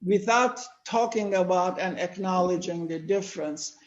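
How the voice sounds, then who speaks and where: An older man from Turkey, speaking natively, giving a measured lecture-style talk with many pauses. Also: high-pitched, roomy sound, lo-fi sound. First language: Turkish. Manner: measured, explanatory, with frequent "uh" pauses. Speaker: panel speaker. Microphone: video call.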